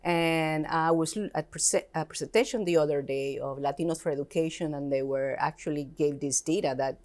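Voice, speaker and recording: Low-voiced woman with a Puerto Rican accent. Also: a little fast, a little mellow. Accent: Puerto Rican Spanish. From Puerto Rico. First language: Spanish. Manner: earnest, emphatic, slightly non-native grammar. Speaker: education institute director, guest. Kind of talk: casual interview conversation.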